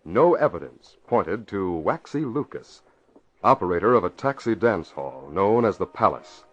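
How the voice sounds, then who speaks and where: A man's voice: low-pitched, big pitch swings, a little slow. Grave American man narrating vintage radio drama. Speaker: story narrator. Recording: muffled vintage radio recording.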